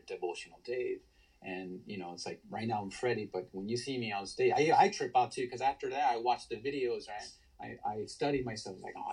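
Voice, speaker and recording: A man in his thirties with a husky, gravelly baritone voice. He tells his stories eagerly in a relaxed podcast chat, often restarting his sentences. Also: poor audio. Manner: enthusiastic storyteller, many restarts. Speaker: guest, tribute band singer. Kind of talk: casual podcast interview.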